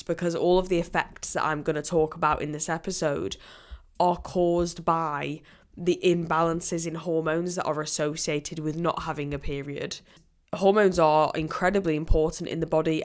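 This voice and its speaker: A woman hosting her own health podcast, talking alone in a chatty, rambling way with a voice a bit croaky from a recent illness. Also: sits low. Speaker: podcast host. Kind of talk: solo health podcast talk.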